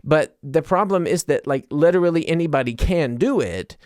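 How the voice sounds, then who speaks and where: A male podcaster with a somewhat higher-than-average voice talks off the cuff to his listeners. His delivery is loose, conversational and self-deprecating, in the manner of a comedian thinking out loud. The sound is dry, with very little room in it. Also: animated, normal speed, no room sound. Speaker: podcast host and stand-up comedian. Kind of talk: casual solo podcast monologue.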